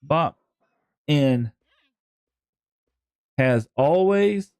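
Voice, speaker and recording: A man's voice: on the high side, animated, very slow, a little mellow, clear, resonant tone, no room sound. A man with a Texan accent chatting to his viewers on a live gaming stream, rambling and joking as he plays. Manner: rambling, jokey, talks to chat.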